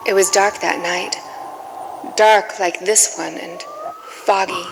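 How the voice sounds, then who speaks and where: A woman plays a wronged old flame from a noir radio play, speaking very slowly and deliberately. She is wounded and reproachful, with a melodramatic edge. Her voice rings in a long hall reverb over faint noise. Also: lowish voice, fairly even intonation, gravelly. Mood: sombre. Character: bitter, wounded female former lover of a detective. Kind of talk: noir radio drama acting.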